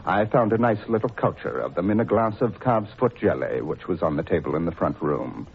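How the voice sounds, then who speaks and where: A man's voice: sits low, lively intonation, brisk, creaky, narrow, tinny audio, slight background noise. An actor playing a mad, vengeful male scientist villain in an old radio play, with a theatrical, gloating delivery and sinister chuckles. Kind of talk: 1940s radio acting.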